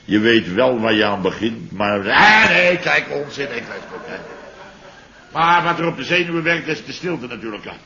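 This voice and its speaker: A pompous middle-aged boss who rambles and blusters. His bright voice swings up and down a lot. It comes from a dull, old radio-play recording with a faint hiss. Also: medium pitch, very animated, moderate pace, echoey room. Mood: rambling. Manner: rambling, blustering, talks over himself. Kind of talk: 1970s radio drama acting.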